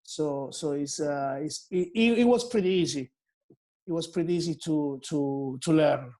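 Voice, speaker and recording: A man's voice: on the high side, moderate pace, a little mellow. A middle-aged Italian man with an Italian accent, a hairdresser talking as a guest in a casual interview, hesitant with many fillers and restarts. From Italy.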